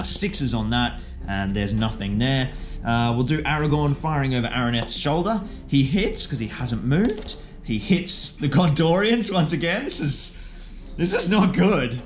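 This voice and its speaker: A man giving casual, self-mocking play-by-play commentary as he hosts and plays a tabletop wargame. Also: mid-range voice. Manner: jokey, self-mocking, exclaiming at dice rolls. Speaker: player and host of a tabletop wargame video. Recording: music behind the voice.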